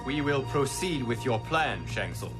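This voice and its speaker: Man playing an earnest monk, calm, mid pitch, music behind. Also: measured pace, close to an everyday voice. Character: earnest young male monk turned keeper of time. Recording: game soundtrack with music.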